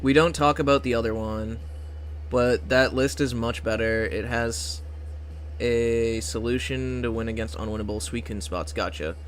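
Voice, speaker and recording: A man's voice: mid-range voice, moderate pace, slight background noise. Casual young man streaming a card game. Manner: relaxed, mumbling asides, swearing. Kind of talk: casual livestream chat.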